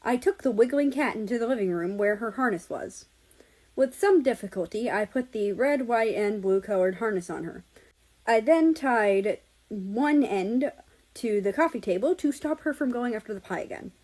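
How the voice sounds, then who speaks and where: A woman's voice: on the high side, animated, moderate pace, darkish tone, rough recording. Woman reading a story aloud. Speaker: audiobook-style reader of fan fiction. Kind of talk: narrated story reading, amateur.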